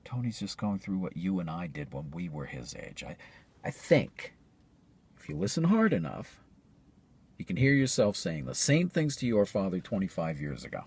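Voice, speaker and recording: A man's voice: sits low, huge pitch swings, normal speed, vocal fry, darkish tone, slightly rough, heavily acted. A man performing a stage monologue as an earnest character reasoning persuasively with someone. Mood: earnest persuasion.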